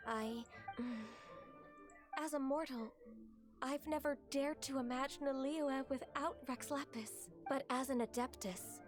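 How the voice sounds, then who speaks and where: A woman voicing a polite, gentle, wistful half-human immortal secretary in an anime video game. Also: high-pitched. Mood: earnest. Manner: polite, soft-spoken, hesitant.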